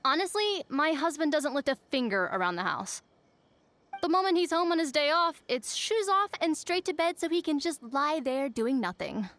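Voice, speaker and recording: An actress playing a courteous married housewife, a little fed up with chores, in a video game. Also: female voice, high voice, sing-song, moderate pace, fairly bright tone, a little hiss or hum, exaggerated voice. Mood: exasperated. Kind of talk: scripted video game voice acting.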